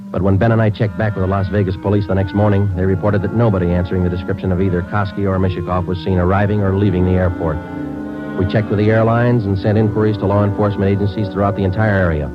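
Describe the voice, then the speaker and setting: An American man playing a terse, dogged police sergeant who also narrates, clipped and deadpan, in a 1940s radio crime drama. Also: low-pitched, natural voice. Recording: muffled old radio recording with music.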